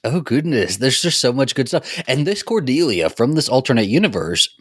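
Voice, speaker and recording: A man's voice: medium pitch, sing-song, moderate pace, a bit bright, slightly rough, no room sound, studio quality. A man co-hosting a podcast, thinking aloud in casual, sweary discussion of fiction. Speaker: podcast co-host.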